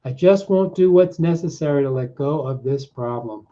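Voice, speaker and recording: A man working as a coach, leading a guided self-help exercise in short, deliberate, repeated phrases with pauses between them. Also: on the high side, dark tone. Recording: dull, muffled lo-fi audio.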